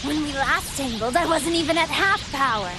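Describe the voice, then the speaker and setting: Woman acting high-pitched, gluttonous android villainess. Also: normal speed, music in the background, natural voice. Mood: smug, menacing. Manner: taunting, smug. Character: gluttonous, playful, menacing female android villain. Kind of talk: English anime game dub acting.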